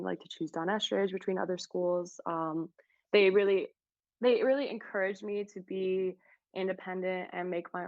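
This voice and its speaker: Young woman, podcast guest, low-pitched, dry close sound, muffled lo-fi audio, relaxed. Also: dry recording. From Florida, United States. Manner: thoughtful, relaxed, laughs easily. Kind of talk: casual interview chat.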